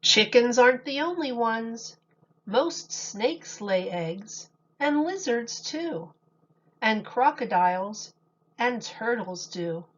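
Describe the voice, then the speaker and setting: A woman teacher reading a picture book aloud to young children in a deliberate, expressive storytelling manner. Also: fairly high pitch, lo-fi sound.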